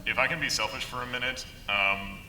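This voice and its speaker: A man's voice: mid-range voice, a little fast, pushed and bright, a little hiss or hum. A man on an animation panel, talking casually with joking, modest asides. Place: large convention hall. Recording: echoey hall with long reverb.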